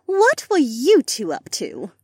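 Actress as teasing young woman, husky edge, animated pitch, audiobook character, dry sound. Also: slow pace, no echo, exaggerated voice. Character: cheeky, teasing young women, the hero's lovers.

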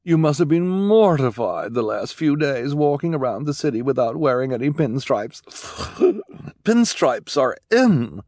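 Man putting on a haughty, high-pitched society woman. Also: big pitch swings, a little mellow, dry recording, clean studio sound. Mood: gushing excitement. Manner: affected, breathy gasps, emphatic drawn-out words. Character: haughty, gushing, fashion-obsessed rich woman guardian. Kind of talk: audiobook character voice.

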